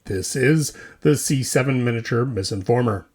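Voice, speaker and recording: A man's voice: medium pitch, moderate pace, over loud music. A man hosting a scripted mock news show, delivering it like a theatrical, mock-serious newscaster. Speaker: host of a hobby news show.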